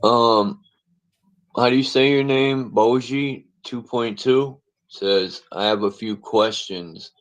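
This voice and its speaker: A man from Connecticut hosting a casual livestream panel, talking loosely with halting restarts. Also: medium pitch, low-quality recording. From Connecticut, USA.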